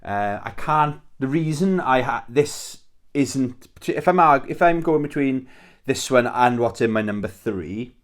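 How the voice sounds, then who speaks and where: A Welsh man talks loosely and cheerfully about his game collection, dropping casual swears and tripping over his own sentences. His voice sits at an ordinary middle pitch for a man. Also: animated, unhurried. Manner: chatty and enthusiastic, swears casually, many restarts. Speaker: YouTube channel host.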